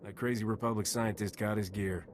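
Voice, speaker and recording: Man playing low-voiced cocky smuggler captain. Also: steady tone, fairly quick, slight background noise, close to an everyday voice. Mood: flippant. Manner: flippant, casual. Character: cocky, wisecracking male smuggler gunslinger captain. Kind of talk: video game dialogue.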